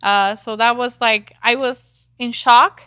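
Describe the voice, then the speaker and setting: A woman's voice: fairly high pitch, fairly even intonation, takes it slowly, bright, forceful tone, smooth, no echo, studio quality. A woman from Mexico with a thick Mexican Spanish accent, talking candidly as a real estate agent guest, with fillers and restarts. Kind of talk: casual interview talk.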